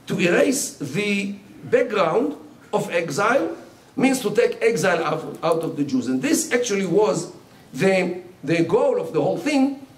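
An older man whose first language is Yiddish, giving a public lecture at a podium with many fillers and restarts. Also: high voice. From Israel. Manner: halting, many fillers and restarts, gestures a lot. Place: lecture hall. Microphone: podium mic. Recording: roomy hall sound from some distance.

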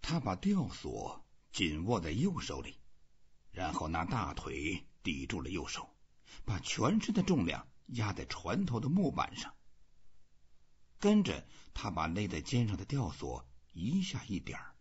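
A man reading literary fiction aloud as scripted, measured and expressive storytelling. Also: low-pitched, slightly rough. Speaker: audiobook reader. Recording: dull, muffled lo-fi audio.

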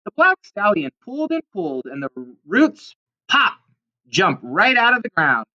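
Bright, animated woman reading a storybook. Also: medium pitch, measured pace, highs cut off. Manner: animated, dramatic emphasis on key words. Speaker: storybook reader. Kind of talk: expressive children's storybook read-aloud.

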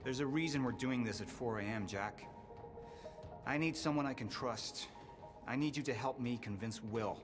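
An actor playing a driven, persuasive scientist in earnest, cinematic video game dialogue. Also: male voice, mid-range voice, normal speed, lightly acted. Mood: earnest, pleading. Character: driven, persuasive male scientist friend, secretly nervous. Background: music.

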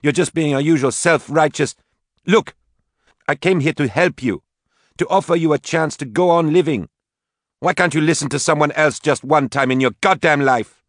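A man reading an audiobook voices an angry grown daughter who lashes out at her mother, raising his pitch well above his own. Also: quick pace, dry recording, clean studio sound, lightly acted. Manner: sharp, pleading, swearing outbursts. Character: furious grown daughter, young woman, bitter and tearful.

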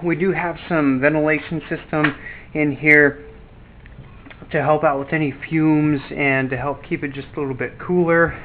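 A man with a slightly high voice talks through a casual DIY walkthrough inside a cargo trailer, relaxed and explanatory, with fillers and restarts. The audio is thin and phone-like, with a faint hiss behind him. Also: normal speed. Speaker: DIY channel host. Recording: tinny lo-fi audio with faint background noise.

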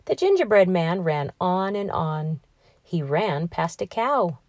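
A woman narrating a children's story as an expressive read-aloud host. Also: lowish voice, slow pace, no room sound, clean studio sound. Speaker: storybook reader, channel host.

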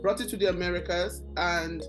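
Nigerian woman, podcast host, lower voice, enthusiastic, video-call sound with faint music. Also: fairly even intonation, a little fast. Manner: enthusiastic, repeats words while searching for phrasing. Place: room with bookshelves and red sofa.

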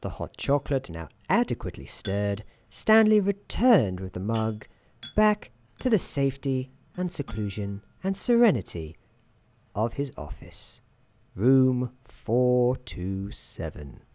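Man playing a mellow, droll storybook narrator. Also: mid-range voice, very animated. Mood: soothing, droll. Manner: measured, whimsical, gently patronising. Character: droll, soothing male storybook narrator in an office worker's head. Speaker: narrator of an ASMR roleplay. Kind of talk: soft-spoken ASMR scripted narration.

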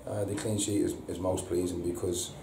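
A man, a football manager giving a halting post-match interview full of repeated words and ums. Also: deep voice, level tone, gravelly, dark tone. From England. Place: indoor press room before a sponsor board. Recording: echoey room, distant mic, audible hiss.

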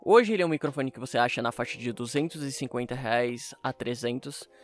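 A Brazilian man talks informally to his viewers in a medium-pitched voice. His delivery is chatty and casual, like a creator sharing a gear review as a voice-over. Music plays behind him. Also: fairly quick. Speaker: YouTube creator and fan dubber.

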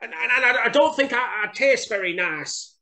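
A man voicing a tiny, timid but earnest female mouse in a children's story, squeaky and stammering. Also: lively intonation, moderate pace, gravelly, bright, forceful tone, heavily acted. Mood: frightened, pleading. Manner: stammering, quivering.

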